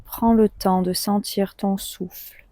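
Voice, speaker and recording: A woman guiding a deep relaxation meditation in a calm, soothing, unhurried manner, leaving long pauses between short phrases. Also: no echo, studio quality. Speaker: yoga nidra guide.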